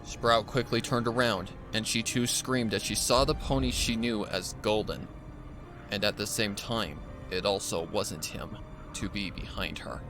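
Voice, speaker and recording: Low-pitched man slowly narrating a horror story. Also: fairly even intonation, over music.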